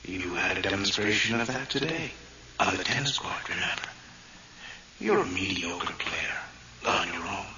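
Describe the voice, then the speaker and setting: Muffled, menacing ghostly twin brother. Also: male voice, low voice, animated. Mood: sly persuasion. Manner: sinister, breathy whispering, deliberate taunts. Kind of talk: vintage radio horror drama acting. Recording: noisy, muffled vintage radio recording.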